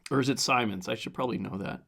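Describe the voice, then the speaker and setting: A man with a hoarse, raspy, strained voice hosts a casual podcast on his own. He rambles loosely with plenty of fillers and reads passages aloud in an easygoing, mid-pitched tone. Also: very fast, pushed and bright. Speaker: podcast host. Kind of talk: casual solo podcast commentary.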